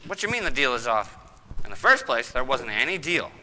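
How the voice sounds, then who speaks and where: A man's voice: mid-range voice, big pitch swings, fairly quick, sharp tone, big-room sound, slight background noise, rough recording. Man acting a piercing, exasperated radio-comedy fellow. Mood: exasperated, incredulous.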